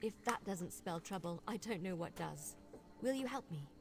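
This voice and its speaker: An actress voicing a brisk, wry young vampire investigator in a fantasy video game, speaking with conversational confidence. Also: female voice, fairly low pitch, lively intonation, soft background music. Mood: earnest, urgent. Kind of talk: video game character dialogue.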